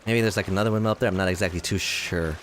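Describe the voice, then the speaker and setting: A man in his twenties giving rambling, self-deprecating commentary while playing a game, his voice tired and a little hoarse. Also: sits low, steady tone, racing, fairly bright tone, a little hiss or hum. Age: 20s. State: voice tiring, slightly hoarse. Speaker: gaming video host. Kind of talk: casual let's-play commentary.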